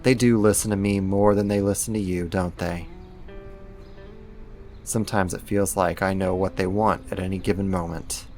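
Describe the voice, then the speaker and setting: A man acting in an ASMR roleplay as a caring, wry young supervillain roommate, speaking softly and conversationally with hesitant pauses and gentle teasing. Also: sits low, fairly even intonation, soft background music, close to an everyday voice. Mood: casual, wry.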